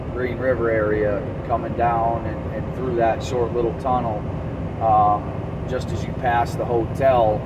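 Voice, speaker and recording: A man's voice: medium pitch, muffled recording. A man who drives trucks talks casually to his viewers in a rambling, conversational way, giving advice from experience. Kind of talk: casual vlog storytelling and advice. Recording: distant mic with loud vehicle background noise.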